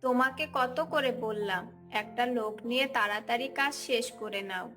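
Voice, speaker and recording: A woman playing a practical, gently bossy village wife and mother in a cartoon folk tale. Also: on the high side, moderate pace, soft background music. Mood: caring, nagging.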